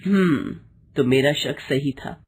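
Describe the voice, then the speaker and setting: A woman voicing a caring, protective older mother-in-law, soothing and gently commanding, in a moral story. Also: deep voice, slow, a little hiss or hum. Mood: reassuring.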